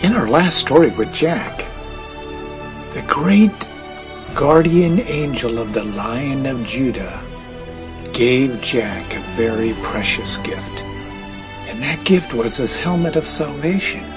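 Slow, dramatic male storyteller. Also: medium pitch, expressive. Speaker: narrator. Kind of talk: storybook narration over music. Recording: narration over prominent music bed.

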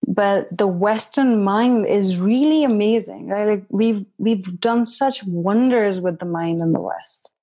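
A woman from India speaks as a podcast guest at a slow, unhurried pace. Her talk is loose and reflective, dotted with "like" and easy laughter, and her pitch sits in a middle range. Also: mellow. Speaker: podcast guest, healing facilitator. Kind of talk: casual podcast conversation.

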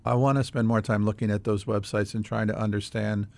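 A man's voice: on the low side, flat delivery, a little fast, vocal fry, darkish tone. An American man hosting a casual interview, speaking in a curious, conversational way. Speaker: host.

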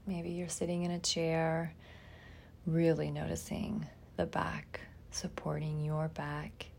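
Female meditation guide, soft and mellow, low register, breathy phrase endings, faint rumble behind. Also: monotone. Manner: soft, soothing, often trailing into breathiness, with long pauses.

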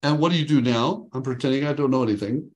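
A man coaching a group over a video call from his home. His voice sits at an ordinary pitch, upbeat and joking, and he slows right down when he dictates phrases for people to write. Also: rough recording. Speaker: workshop leader.

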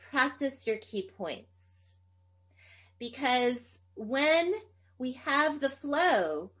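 A woman from Tennessee, who grew up speaking Greek, giving a slow, emphatic lecture on public speaking. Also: fairly high pitch. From Tennessee, USA. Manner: slow, deliberate, emphatic phrasing.

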